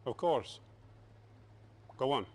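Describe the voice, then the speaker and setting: An actor playing a commanding older Soviet dictator in a game cutscene, curt and authoritative. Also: male voice, mid-range voice, lively intonation, slow, darkish tone. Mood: probing. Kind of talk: video game cutscene acting.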